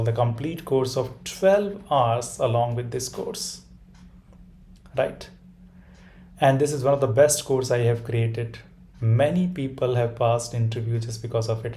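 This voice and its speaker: Mellow-voiced Hindi-speaking man teaching a webinar. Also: mid-range voice. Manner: repeats "right?" and restarts often, talking through a whiteboard diagram. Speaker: course instructor. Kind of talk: online webinar lesson with a sales pitch.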